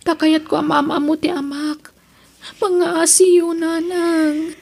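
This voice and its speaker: A woman's voice: very high voice, little pitch movement, slow pace, gravelly, darkish tone, exaggerated voice. A woman with an Ilocano accent playing an earnest, pleading teenage daughter who longs for acceptance in a radio drama.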